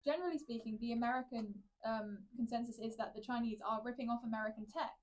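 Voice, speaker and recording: A woman's voice: on the high side, steady tone, fast, darkish tone, low-quality recording. A woman co-hosting a political podcast, chatty and enthusiastic, with frequent fillers. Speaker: podcast co-host. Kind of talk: casual political chat.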